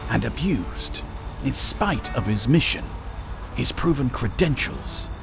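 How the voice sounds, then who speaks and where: A man narrating a scripted fantasy story in a dramatic, measured storytelling manner. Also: mid-range voice.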